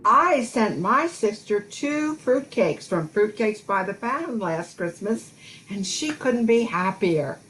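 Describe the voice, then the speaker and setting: An enthusiastic young mother played by an older woman gives a radio testimonial. She talks slowly and deliberately at a middle pitch. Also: expressive, fairly bright tone, slight background noise, rough recording. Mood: pleased. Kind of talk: amateur radio play acting.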